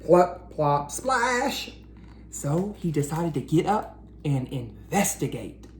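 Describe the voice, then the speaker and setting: A man hosting a read-aloud for children, speaking directly to them with questions and drawn-out emphasis. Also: on the high side, big pitch swings, slight background noise. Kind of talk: children's picture-book read-aloud and chat.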